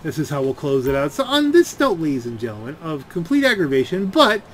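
A man giving casual, rambling gameplay commentary, halting as he thinks aloud. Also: a little high, very animated. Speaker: gaming video creator.

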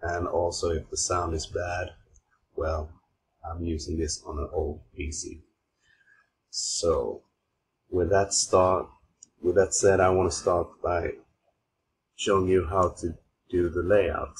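A man from Sweden with a Swedish accent, giving an informal software tutorial in simple, halting non-native phrasing. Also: deep voice. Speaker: tutorial host. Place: voice-over recorded at an old computer. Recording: steady clear background noise, mouse clicks.

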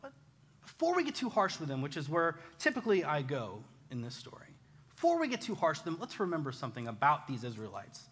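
Man, American accent, pastor, higher-pitched bright forceful voice, casual animated preaching, self-deprecating storytelling, long hall reverb. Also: fast, breathy edge. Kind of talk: conversational sermon with personal stories.

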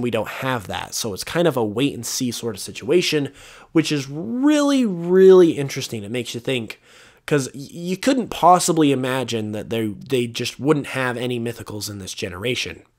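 A young man hosts a solo podcast in a loose, rambling way, full of fillers and restarts. His voice is wearing hoarse and he clears his throat at times, and his pitch sits right in the middle for a man. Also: very animated, normal speed. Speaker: podcast host. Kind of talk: casual solo podcast talk. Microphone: voice-over over gameplay footage.

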